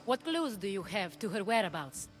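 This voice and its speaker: A woman plays a shrewd, discreet hostess of ancient Greece in a video game. She is measured and polished, at a medium pitch, over faint music. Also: big pitch swings, lightly acted. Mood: discreet, knowing. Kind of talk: video game dialogue acting.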